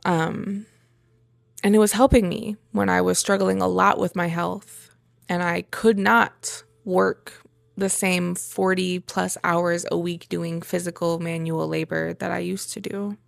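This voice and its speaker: Woman with a creaky voice, reflecting personally. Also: lowish voice, little pitch movement, a little slow, no echo, clean studio sound. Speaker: vlogger sharing a personal journey. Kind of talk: reflective personal monologue to camera.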